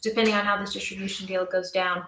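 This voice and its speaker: A woman's voice: mid-range voice, flat delivery, quick pace, pushed and bright, slight background noise. Woman in an echoey room, chatting casually. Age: young adult. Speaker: interview guest, audio drama writer and producer. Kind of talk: casual interview talk. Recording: roomy, echoey sound.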